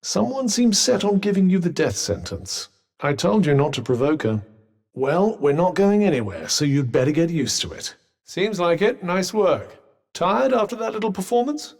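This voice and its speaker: Droll posh British magical companion in long reverb. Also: male voice, high voice, big pitch swings, normal speed, creaky, darkish tone, exaggerated voice. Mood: wry, alert. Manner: posh, droll, understated, faintly condescending. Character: dry, haughty, sardonic talking magical cuff companion. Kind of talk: video game companion voice lines.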